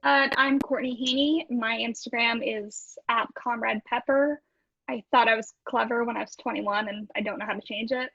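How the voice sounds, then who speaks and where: A woman's voice: on the high side, steady tone, moderate pace, creaky, a bit bright, no echo, highs cut off. A woman in casual improv comedy chat on a podcast. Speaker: podcast cast member.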